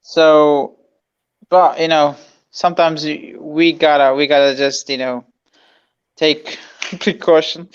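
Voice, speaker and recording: A man's voice: on the high side, level tone, slow, pauses often, clear, resonant tone, dull audio. A man in his twenties chatting playfully, often stumbling and repeating words. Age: 20s. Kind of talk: casual group chat.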